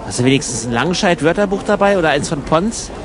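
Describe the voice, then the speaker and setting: A man's voice: on the high side, lively intonation, quick pace, a crowd in the background, close to an everyday voice. A man playing a sceptical, nagging young traveller in a casual radio play, questioning and fussy in his talk. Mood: pedantic, bickering. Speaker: narrator and travelling companion.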